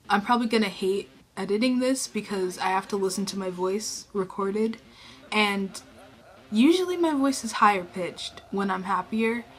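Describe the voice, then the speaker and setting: Teen girl vlogger, low flat-ish subdued talk, rambling, faint music under. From New York State. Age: teens. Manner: low subdued voice, self-conscious, rambling with many fillers. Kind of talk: casual rambling to camera. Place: her bedroom. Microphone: camera in her room.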